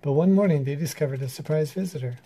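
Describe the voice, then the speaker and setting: A man reading a children's story aloud in an animated, dramatic way. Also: a little high, fast. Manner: animated, dramatic, with character voices. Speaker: storyteller reading a picture book. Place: room in a house.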